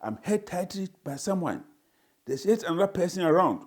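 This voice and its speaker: A man's voice: high-pitched, big pitch swings, normal speed, voice pushed up. Indignant newborn for a dramatized story, wordy and pompous, Ghanaian accent, played by a man. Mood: puzzled, concerned. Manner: formal, pompous, florid phrasing. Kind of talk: dramatized first-person reading.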